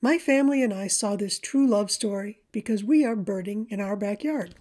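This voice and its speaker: A woman reading a scripted voice-over about nature in a gentle, measured storytelling manner. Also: mid-range voice. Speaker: presenter of a backyard birding video for a library.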